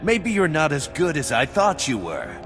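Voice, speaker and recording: An actor playing a bitter, contemptuous renegade soldier captain in a video game, sneering in plain colloquial speech. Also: male voice, on the high side, huge pitch swings, moderate pace. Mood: mocking. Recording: long hall reverb, faint music.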